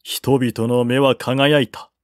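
Male narrator for literary historical fiction: unhurried, formal, mid-pitched, close dry recording. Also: little pitch movement, no room sound. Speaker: audiobook narrator.

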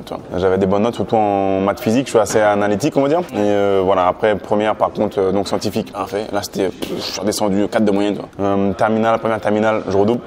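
A man in his thirties from the Paris suburbs, telling his life story in a relaxed, slangy interview full of fillers, seated in a lounge. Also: on the low side. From France, Paris suburbs. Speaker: guest entrepreneur. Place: hotel lounge indoors, seated on sofa.

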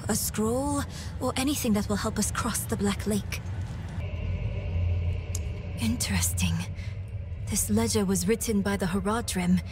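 An actress playing an earnest, determined young scholar in a dramatic video game cutscene, thoughtful and intent. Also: female voice, mid-range voice, a little slow, long pauses, music in the background, close to an everyday voice.

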